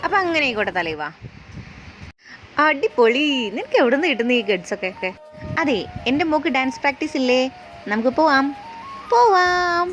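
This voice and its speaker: A woman's voice: expressive, moderate pace, long pauses, a bit bright, highs cut off. A woman voice acting a fan-dub story, talking in a playful, teasing way. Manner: playful, teasing, shifts to shrill panicked pleading. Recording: background music under the voices.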